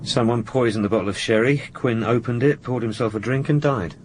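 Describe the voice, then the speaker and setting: A man's voice: medium pitch, brisk, close to an everyday voice. An actor playing a deferential, plain-spoken male police sergeant in a radio drama. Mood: questioning. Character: dutiful, quietly aggrieved male police sergeant. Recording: noisy ambience behind the voice.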